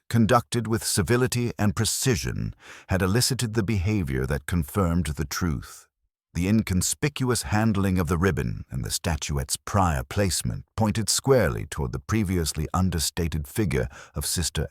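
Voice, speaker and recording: Very deep male story narrator. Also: no room sound, studio quality. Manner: measured, formal storytelling. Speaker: audiobook narrator. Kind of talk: scripted mystery story narration.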